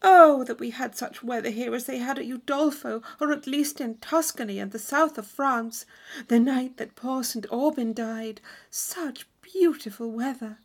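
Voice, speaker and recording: A woman's voice: a little high, measured pace. A woman voicing an eager, anxious young lady from a storybook, earnest and given to dramatic exclamations. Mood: stubborn, then despairing.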